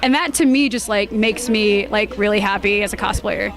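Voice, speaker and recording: A woman giving a casual interview as a cosplayer, with a bubbly, expressive way of talking. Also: medium pitch, normal speed. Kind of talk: casual interview chat at a convention.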